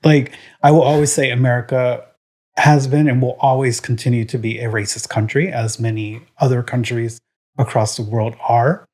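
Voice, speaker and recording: A man's voice: mid-range voice, steady tone, moderate pace, darkish tone. Rambling, frank male podcast co-host. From Puerto Rico. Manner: rambling, full of "um" and "like"; frank and opinionated. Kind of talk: casual podcast chat.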